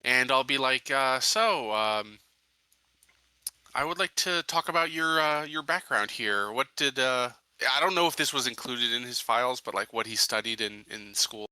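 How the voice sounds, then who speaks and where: Very bright, sharp-voiced male player. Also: medium pitch, normal speed, smooth, no room sound. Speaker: tabletop game player. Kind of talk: casual in-game chat.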